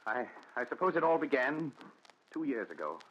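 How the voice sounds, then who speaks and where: An actor playing a frightened, agitated young fiancé in a 1940s radio thriller style. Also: male voice, medium pitch, sing-song, moderate pace, close to an everyday voice. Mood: haunted, resigned. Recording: muffled noisy 1940s radio recording, clicks.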